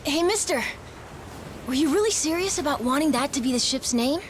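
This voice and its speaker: A young boy from a video game, with a high, youthful voice. He sounds earnest and adventurous, a kid hungry for freedom. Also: lively intonation, brisk, a little hiss or hum. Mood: hopeful.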